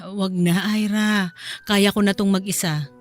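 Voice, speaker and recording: A woman's voice: medium pitch, steady tone, a little slow, soft background music, close to an everyday voice. A woman playing a weary, grieving widowed mother in an emotional radio drama. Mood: evasive, weary.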